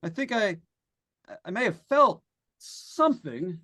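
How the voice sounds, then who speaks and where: An actor playing a skeptical, plain-spoken broadcaster in a haunted house, informal and halting, in old-time radio suspense style. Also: male voice, sits high, big pitch swings, heavy background noise, exaggerated voice. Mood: uneasy.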